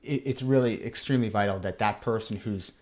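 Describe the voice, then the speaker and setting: A man with a slightly low voice explains as an interview guest. He talks like a trainer, in a halting way, often repeating words as he builds his point. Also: darkish tone.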